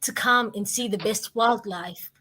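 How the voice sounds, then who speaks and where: A woman in her twenties with a Kenyan accent, telling her story like an inspirational speaker, with emphatic repetition and deliberate pauses. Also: mid-range voice, bright, forceful tone, slightly rough. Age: 20s. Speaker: guest, motivational speaker.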